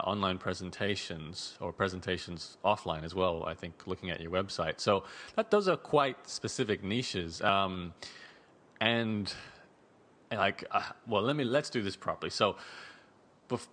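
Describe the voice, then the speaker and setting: A man's voice: deep voice, fairly bright tone. A man hosting an interview, talking in a chatty way with frequent restarts and repeated words. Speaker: podcast host.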